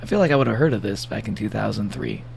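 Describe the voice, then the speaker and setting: A man with a gravelly voice giving a casual livestream reaction, rambling and joking playfully as he comments and reads bits aloud. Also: fairly low pitch, lively intonation, fast, darkish tone, a little hiss or hum. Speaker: streamer reacting to horror videos.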